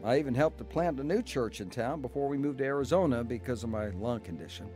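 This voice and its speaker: Male actor as devout married man, earnest and heartfelt, animated pitch, radio drama narration. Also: fast talker, soft background music, close to an everyday voice. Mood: earnest, reflective. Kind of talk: radio drama acting and first-person narration.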